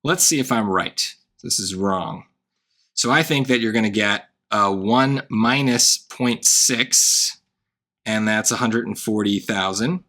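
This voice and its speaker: A man walks through a math problem like a relaxed tutor, checking in with "right?" as he goes. His tone is bright and forward. The voice sounds worn and hoarse. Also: on the low side, slow pace. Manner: thinking aloud, wry asides, frequent "right?". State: voice getting hoarse. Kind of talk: casual worked-example lesson.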